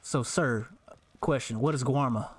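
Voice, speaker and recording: A man's voice: medium pitch, unhurried, a little hiss or hum, close to an everyday voice. A man roleplaying a polite, eager Old West hired outlaw, deferential and folksy, often repeating himself. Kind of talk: improvised online Western roleplay.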